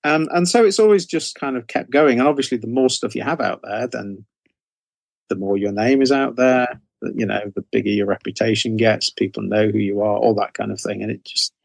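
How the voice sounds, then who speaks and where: A man's voice: on the low side, brisk, vocal fry, no echo. A British man in his sixties telling anecdotes informally as an interview guest, often circling back on his phrases. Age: 60s. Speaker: interview guest, horror author. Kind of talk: conversational storytelling in an interview.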